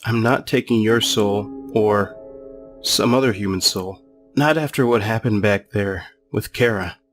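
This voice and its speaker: Slow-talking, weary young monster prince played by a man. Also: lowish voice, over music, lightly acted. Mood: bitter, resigned. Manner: weary, sarcastic. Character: jaded young male goat-monster prince, cynical, self-doubting. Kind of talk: fan comic dub acting.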